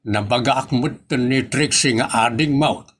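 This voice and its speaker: A man's voice: mid-range voice, unhurried, fairly bright tone, husky. A man with an Ilocano accent playing a bashful, fussy husband in a rural family audio comedy. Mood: nagging.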